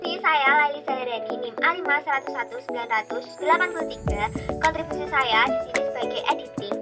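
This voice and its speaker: A woman's voice: high voice, fast talker, loud music behind the voice. A young woman, a student presenter, giving a scripted explanation. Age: young adult.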